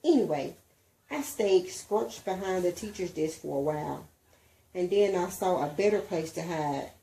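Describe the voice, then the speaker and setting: A woman reading a children's storybook aloud in an expressive way. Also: lowish voice, a little slow, creaky, a little hiss or hum, low-quality recording. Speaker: reader, mother.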